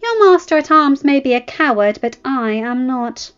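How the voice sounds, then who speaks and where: Woman playing a haughty young prince, pitched up. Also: lively intonation, clean tone, dull audio. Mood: provoked, haughty. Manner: haughty, taunting, drawn-out emphasis. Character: arrogant, sneering spoiled teenage prince.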